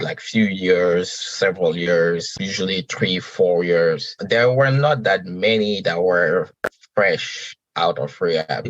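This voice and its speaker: Deep-voiced man from Senegal, unhurried. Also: dry recording, lo-fi sound. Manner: unhurried, with pauses and restarts. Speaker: podcast host and guest. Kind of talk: conversational interview answers.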